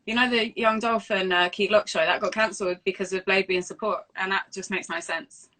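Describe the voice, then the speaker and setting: A woman's voice: medium pitch, steady tone, a little fast, sharp tone, rough recording. A woman with a London accent hosting a casual livestream interview in informal, conversational phrasing. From London, England. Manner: informal, conversational phrasing full of "like" and "you know". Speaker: interviewer and host. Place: remote Instagram Live video call.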